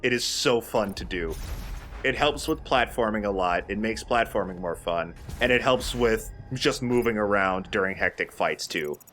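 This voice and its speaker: A man with a fairly low voice hosts a casual video game playthrough. He explains mechanics and gives his opinions in an offhand way, over audible game noise. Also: normal speed, fairly bright tone. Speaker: host playing a video game. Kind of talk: casual let's play commentary.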